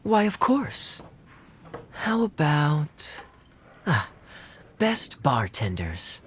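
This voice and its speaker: A man's voice: on the high side, huge pitch swings, drawn-out words, a bit bright, slight background noise, exaggerated voice. A man voicing a suave, roguish young tavern regular in a video game, teasing in a playful, sly drawl. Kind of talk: English video game voice acting.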